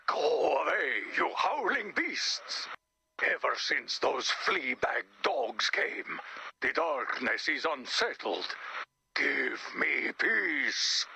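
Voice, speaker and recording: A brooding, grumpy vampire from a video game. The delivery is extremely slow and theatrical, sharp and gravelly, with faint noise on the recording. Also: male voice, fairly high pitch, very animated, heavily acted. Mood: weary, menacing.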